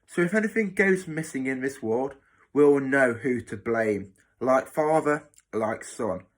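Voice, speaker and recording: A man's voice: mid-range voice, lively intonation, unhurried, close to an everyday voice. A man voicing a cruel, bossy hospital matron in a children's story, snapping and mocking with sarcasm. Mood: sneering, sarcastic.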